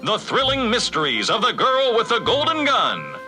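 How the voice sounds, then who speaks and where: A man's voice: very high-pitched, huge pitch swings, moderate pace, pushed and bright, heavily acted. A man playing a dramatic announcer for an old-time detective radio serial. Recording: music bed under the voice. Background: music.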